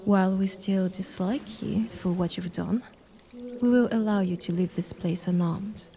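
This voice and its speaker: A woman playing a calm, stern younger monster sister in an audio drama, with measured, formal delivery. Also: medium pitch, close to an everyday voice. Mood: solemn appeal. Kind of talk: dramatic audio roleplay acting.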